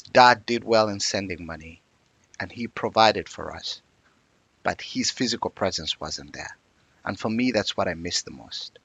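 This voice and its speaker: A man's voice: sits low, a bit bright, husky, no echo. A man, telling his life story as an interview guest in a reflective, earnest way. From Uganda.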